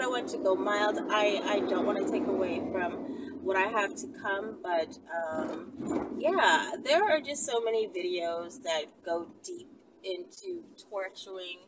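Woman vlogging, slightly distant amid faint noise. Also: mid-range voice. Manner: musing aloud, rambling, rhetorical questions. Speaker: vlogger, channel host. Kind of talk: casual unscripted vlog chat to viewers. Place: inside a car by a street, daytime. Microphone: camera at arm's length. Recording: distant mic, noisy car interior.

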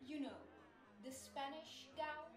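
A woman's voice: sits high, slow, distant mic, faint music behind. A woman playing a sly, teasing accomplice to a swindler in an amateur audio-drama comedy. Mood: sly, prompting. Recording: roomy, echoing remote recording.

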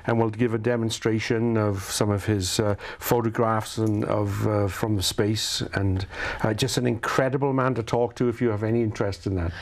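A man speaking as a festival organizer guest on a local talk show, halting and full of fillers. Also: lowish voice, steady tone. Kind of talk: casual chat.

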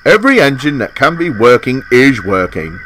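A man's voice: mid-range voice, big pitch swings, normal speed, a bit bright, slight background noise. A man voicing a small, upbeat, practical tank engine who talks in a chipper, matter-of-fact way in a children's story. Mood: explaining.

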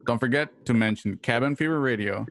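A man's voice: mid-range voice, a little fast, slight background noise. A man doing a scripted sponsor read as an announcer, conversational and salesy. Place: home room, video call. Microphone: headset and close mic.

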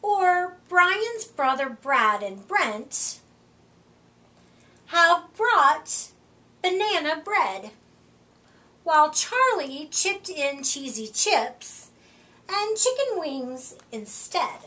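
A middle-aged woman reading a rhyming picture book aloud to children, with deliberate, expressive emphasis, recorded a short way from the mic. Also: high-pitched, slight background noise. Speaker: children's storytime reader. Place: indoor room, seated before a curtain. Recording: voice distant from the microphone.

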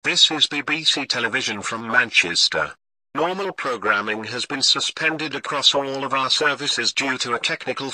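A man playing a calm, formal television emergency news announcer, reading a scripted bulletin in a measured way. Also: medium pitch, no room sound, poor audio. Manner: formal, measured, read-out delivery.

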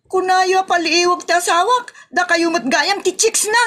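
Actress as jealous young Ilocano wife for comedy drama: very high, shrill, exclamatory, unhurried pace. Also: female voice, background noise. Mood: furious, accusing. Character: jealous, scolding, hot-tempered young wife.

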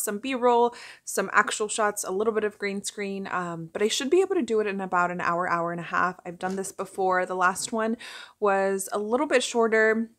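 A woman's voice: medium pitch, fairly bright tone. A young adult woman talking in a chatty, informal way, as in a casual first-person vlog to camera. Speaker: vlogger and working actor.

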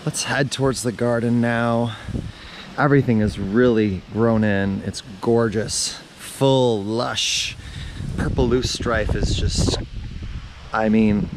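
A man in his thirties, hoarse after losing his voice, hosting a relaxed garden tour outdoors with a lapel mic, talking casually with lots of fillers. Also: lowish voice, slow, a little hiss or hum, low-quality recording. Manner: chatty, relaxed, with many fillers. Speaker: gardening channel host.

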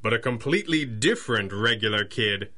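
An actor playing an assured masked hero and boss in a 1930s-style radio drama, measured and formal. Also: male voice, on the low side, animated, pushed and bright. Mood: reassuring.

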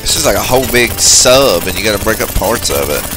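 A man's voice: on the low side, very animated, brisk. A man giving casual, off-the-cuff commentary as he goes through a video game. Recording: game music behind the voice. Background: video game music.

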